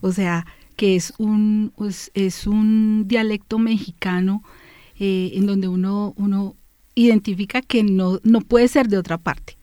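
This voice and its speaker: A woman's voice: medium pitch, fairly even intonation, takes it slowly, warm tone, no echo. Enthusiastic woman in a dry-sounding space. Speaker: participant in a book discussion. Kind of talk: casual conversation.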